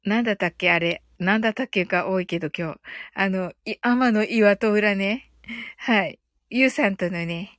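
A woman hosting a casual livestream, talking to listeners in short, halting phrases with many fillers and tags, in a chatty, giggly way. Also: mid-range voice, a bit bright, dry recording, clean studio sound. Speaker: livestream host. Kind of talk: casual livestream chat, greeting listeners and reading comments.

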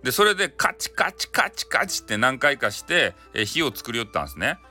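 A man's voice: mid-range voice, sing-song, brisk, sharp tone, soft background music. A man with a Hakata accent telling a folktale casually and playfully, chatty and full of spoken sound effects. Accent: Hakata Japanese. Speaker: storyteller, audio channel host.

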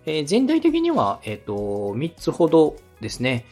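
A man hosting a podcast, politely and methodically giving encouraging production advice in a slightly husky voice. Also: fairly high pitch, wildly swooping pitch, measured pace, a little mellow, faint music behind. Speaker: podcast host and radio director giving feedback.

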